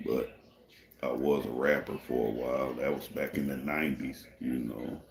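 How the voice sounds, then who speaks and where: A man from Michigan hosting a casual livestream, with a deep gravelly voice people call a radio voice, talking in a laid-back, rambling way. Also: steady tone, moderate pace, reverberant. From Michigan, USA. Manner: laid-back and rambling, many "you know" fillers. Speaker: livestream host. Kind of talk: casual livestream chat answering viewers.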